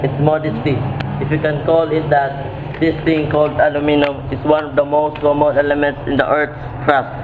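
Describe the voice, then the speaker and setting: A man playing an earnest, serious chemistry professor in an amateur radio play, lecturing in a deliberate, emphatic way. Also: a little high, flat delivery, lightly acted. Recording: tinny phone-like audio, noisy background.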